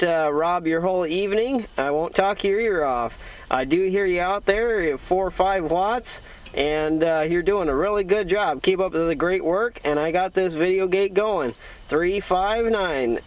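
An American man talking as a radio operator, trading call signs in a halting, folksy, friendly way. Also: high-pitched, recorded from a distance, dull audio. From Iowa, United States.

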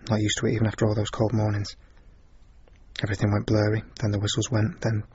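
An actor playing a homesick, scared sixteen-year-old soldier in a radio drama, recalling things plainly and quietly. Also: male voice, lowish voice, monotone, fast, a little hiss or hum, natural voice. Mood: subdued, haunted. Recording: muffled radio recording.

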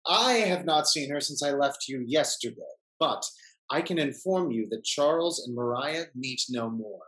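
A middle-aged man acting a smooth-talking, hypocritical gentleman in a period stage comedy, courtly and sententious. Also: mid-range voice, expressive, moderate pace, close to an everyday voice, rough recording. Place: indoor room, performing on video call.

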